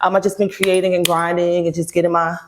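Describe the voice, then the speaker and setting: A woman's voice: on the low side, level tone, fast talker, background noise. A young woman from Boston, a singer-songwriter guest, talking in a laid-back, slangy, joking way. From Boston, Massachusetts. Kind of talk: casual interview chat.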